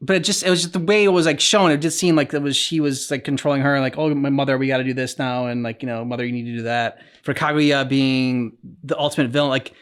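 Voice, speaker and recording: A man's voice: mid-range voice, steady tone, fast, hardly any pauses, smooth. A man co-hosting a reaction channel, talking casually in a tentative, rambling way.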